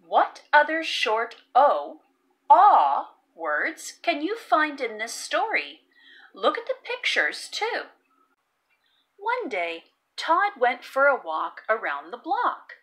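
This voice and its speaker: Female read-aloud narrator for young children: very slow, hugely varied pitch, sing-song and deliberate, stressing key words. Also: a bit bright. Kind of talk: expressive picture-book reading with phonics teaching.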